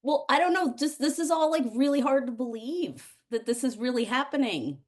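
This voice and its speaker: A woman's voice: high voice, expressive, normal speed. A woman asking questions casually and informally, hesitant and looking for reassurance. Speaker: audience member asking questions.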